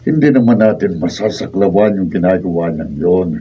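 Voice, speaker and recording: A man's voice: deep voice, expressive, normal speed, dark tone, husky, distant mic, rough recording. A Filipino man acting in a radio drama as an easygoing, considerate village salt worker. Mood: mild, concerned.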